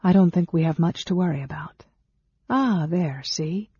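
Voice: mid-range voice, normal speed, mellow, husky, no echo, muffled recording, pitched above the performer's own voice. A narrator voicing a bold, cool woman warrior who speaks in a commanding, scornful manner in a fantasy storybook. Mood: cool, practical.

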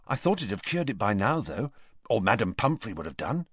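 A man's voice: on the low side, expressive, normal speed, no echo, clean studio sound, natural voice. A man reading as a blunt teenage schoolboy. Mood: puzzled. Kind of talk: audiobook character voice.

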